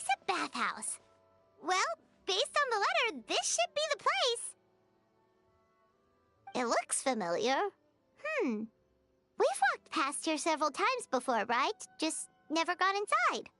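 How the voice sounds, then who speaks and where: A woman voicing a tiny, excitable, food-loving fairy companion in a video game, with a chirpy childlike manner. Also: very high-pitched, unhurried, pauses often, soft background music, exaggerated voice. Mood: puzzled. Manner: chirpy, refers to self in third person.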